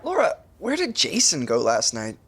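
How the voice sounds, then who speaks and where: An actor playing an awkward, excitable single young man in an audio sitcom. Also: fairly high pitch, moderate pace, very noisy. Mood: puzzled.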